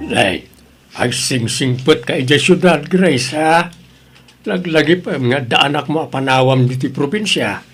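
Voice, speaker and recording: A man's voice: on the high side, a little slow, fairly bright tone, soft background music. A man playing a stern, concerned older father from the province in an audio drama, with an Ilocano Filipino accent.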